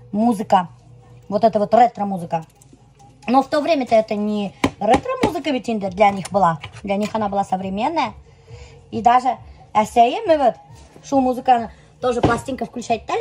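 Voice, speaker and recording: Bashkir lady vlogging, high voice, chatty and animated, mixes two languages. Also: noisy background. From Bashkortostan, Russia. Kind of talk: casual chat mixing two languages.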